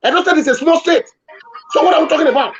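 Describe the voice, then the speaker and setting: A Nigerian man pushes his argument in a live town hall debate. His voice sits high and carries an insistent, repetitive drive. The audio is muffled and lo-fi. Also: racing, faint music behind. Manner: emphatic, argumentative, repeats points.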